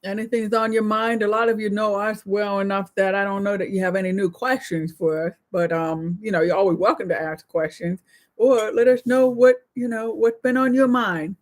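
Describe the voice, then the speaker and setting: An older woman co-hosting a casual live chat, calmly reading out and answering viewer comments. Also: mid-range voice, normal speed. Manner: calm, reads viewer comments aloud. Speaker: livestream co-host.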